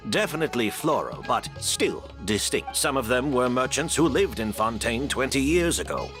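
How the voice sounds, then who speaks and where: An actor playing a gracious, apologetic host who explains things politely and considerately. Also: male voice, medium pitch, lively intonation, moderate pace, over music, lightly acted. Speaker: hotel owner and host.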